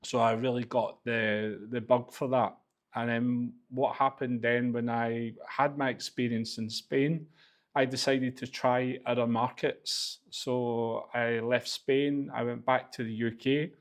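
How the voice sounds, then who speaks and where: Glaswegian man, 40s, even and flat delivery, relaxed personal story to camera. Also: medium pitch, slow pace. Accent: Glaswegian Scottish. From Glasgow, Scotland. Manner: casual, loose, frequent fillers. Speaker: real estate agency director.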